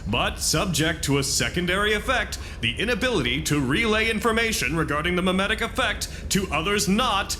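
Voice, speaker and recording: A bright, wry, theatrical man. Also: high-pitched, a little hiss or hum, heavily acted. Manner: dry, precise, wordy. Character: wry, theatrical male colleague, needling but caring. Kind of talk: audio drama acting.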